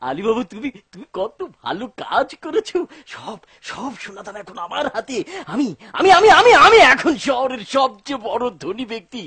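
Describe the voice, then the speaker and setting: High, husky, greedy cartoon man. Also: sharp tone, no echo, heavily acted. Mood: gleeful greed. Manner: stammering, repeating words frantically. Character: greedy, excitable man trapped in a treasure cave. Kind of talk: exaggerated cartoon dub acting.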